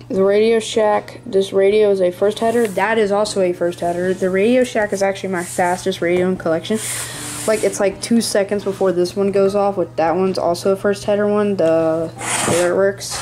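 Halting young-sounding hobbyist showing off a collection. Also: medium pitch, steady tone, a little mellow, slight background noise. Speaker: hobbyist presenting a radio collection. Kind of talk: casual show-and-tell narration. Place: small indoor room at home. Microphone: handheld camera close by.

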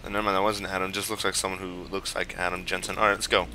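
A man's voice: sits low, flat delivery, rapid-fire, background noise. A man giving casual, flippant gameplay commentary off the cuff. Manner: flippant, irreverent. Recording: game music behind commentary.

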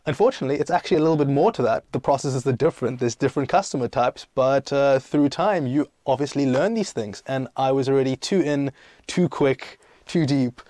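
A man's voice: medium pitch, brisk, warm tone, no echo, clean studio sound. A young man from South Africa and Greece who gives conversational interview answers as a startup boss, explaining emphatically and posing questions that he then answers himself. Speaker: startup CEO, podcast guest.

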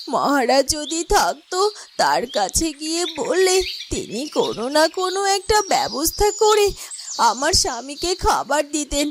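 A woman's voice: high voice, background noise. A woman voicing a devoted, distressed village wife in a fairytale cartoon, lamenting in a plaintive way. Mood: worried, longing. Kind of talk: Bengali fairytale cartoon monologue.

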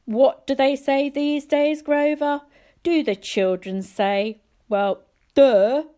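A woman's voice: sits high, slow pace, warm tone, pitched above the performer's own voice. A woman voicing a petulant, pompous, middle-aged male wine god in a storybook reading, whiny and theatrical. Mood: scornful. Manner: pouting, theatrical, sardonic.

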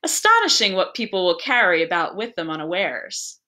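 Woman as storybook magic-shop man, suave, mocking, over-polite, bright tone. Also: on the low side, sing-song, normal speed. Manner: polite, suave, slyly insinuating.

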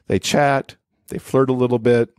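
A man's voice: mid-range voice, flat delivery, moderate pace, a little mellow, clean tone, no room sound, studio quality. A man talking casually and enthusiastically as a guest on a podcast. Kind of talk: casual chat.

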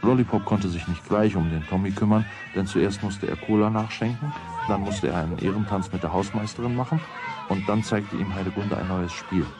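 A middle-aged man narrating a children's radio play as a calm storyteller. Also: lowish voice, fairly quick, music in the background, lightly acted. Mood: calm narration.

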